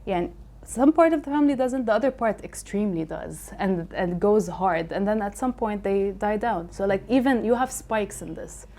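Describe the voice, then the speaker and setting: A woman's voice: medium pitch, expressive, moderate pace, hardly any pauses, mellow. A young woman from a Palestinian family raised in Lebanon, a podcast guest, talking casually and earnestly, with many fillers and restarts. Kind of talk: casual conversation.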